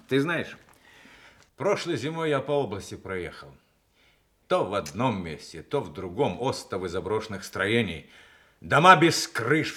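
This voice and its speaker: Gruff army general dad in an old soviet radio drama, speaks up with feeling. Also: male voice, fairly low pitch, a bit bright. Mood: weighty. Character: gruff, indignant colonel-general, father at a family celebration. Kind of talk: Soviet radio play acting.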